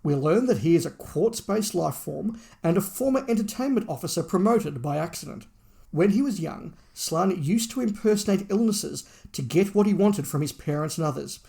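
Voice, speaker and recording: A man reads a prepared story summary for a review podcast. His voice sits somewhat high for a man and has a clear creak running through it. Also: animated, moderate pace, mellow. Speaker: podcast host.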